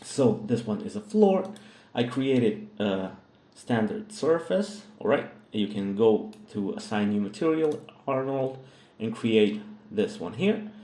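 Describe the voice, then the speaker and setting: A man with a Russian accent, hosting a software tutorial in a hesitant way, with many fillers and frequent "all right?" checks. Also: on the low side, expressive, slow pace. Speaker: tutorial host. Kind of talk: screen-recorded software tutorial. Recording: echoey room.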